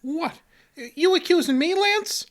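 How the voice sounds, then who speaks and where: A man's voice: extremely high pitch, expressive, takes it slowly, heavily acted. An actor playing a folksy, punch-drunk custodian in a comic radio play, jittery and echoing the ends of his own phrases. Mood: defensive, evasive. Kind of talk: old-time radio comedy acting.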